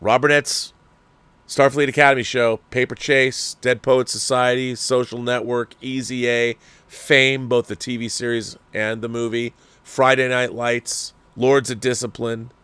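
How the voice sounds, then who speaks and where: An older man who hosts his own channel, giving a rambling, opinionated rant in a casual, animated way. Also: medium pitch, measured pace, clear, resonant tone, no room sound. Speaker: channel host. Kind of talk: ranting commentary.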